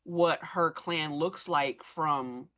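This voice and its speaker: A woman's voice: low-pitched, extremely slow, a bit bright. A woman chatting casually as a podcast cast member, informal and thinking aloud as she goes. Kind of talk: casual panel chat.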